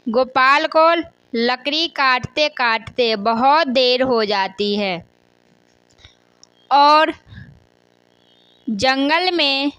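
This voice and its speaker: A woman's voice: high-pitched, sharp tone, no room sound, poor audio. A woman narrating a scripted children's moral story in a simple read-aloud way, with occasional stumbles.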